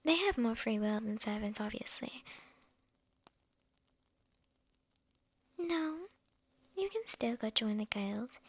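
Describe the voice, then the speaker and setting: A woman playing a lonely, possessive witch in an ASMR roleplay, whispering in a breathy, creaky voice with sly, coy amusement. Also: fairly high pitch, lively intonation. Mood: possessive, teasing. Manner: unhurried, coy, amused, addressing the listener intimately. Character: lonely, possessive young witch, slyly menacing and teasing.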